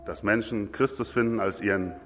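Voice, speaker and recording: A man's voice: on the low side, fairly even intonation, brisk, a bit bright, slight background noise. A man from northern Germany, a master electrician, introduces himself firmly and devoutly at a church service. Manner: firm, devout. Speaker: master electrician sent as missionary. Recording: long church reverb, distant mic.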